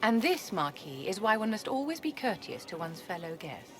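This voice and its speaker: A woman's voice: mid-range voice, sing-song, moderate pace, over loud music, close to an everyday voice. A woman playing an imperious, elegant court enchantress in a video game, polished, condescending and silkily menacing. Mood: silky menace. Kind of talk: video game cutscene acting.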